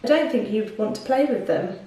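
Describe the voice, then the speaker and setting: A woman's voice: on the high side, lively intonation, brisk, warm tone. A woman reading a children's story like a teacher, expressively, stopping to put questions to young listeners. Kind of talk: children's storybook narration with asides. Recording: very echoey room, distant microphone.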